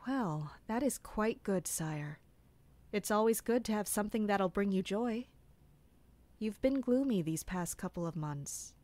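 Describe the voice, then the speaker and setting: Observant royal mercenary, woman actor: mid-pitched, formal and deferential, measured audio drama delivery, close dry sound. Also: lively intonation, darkish tone, dry recording, lightly acted. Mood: polite, deferential. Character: Kina, observant female mercenary serving a prince. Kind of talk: audio drama dialogue and inner narration.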